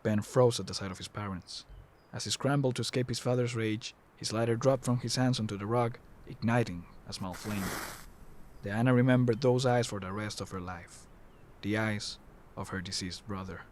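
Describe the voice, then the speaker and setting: A man's voice: on the low side, normal speed, slight background noise. A man narrating an audio drama in a scripted storytelling style.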